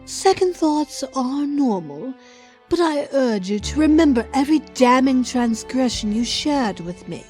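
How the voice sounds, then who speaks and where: Woman playing sinister female tempter, seductive, measured, persuasive, high-pitched, audio drama, music behind. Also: expressive, a little mellow. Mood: coaxing. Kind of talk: audio drama demo monologue.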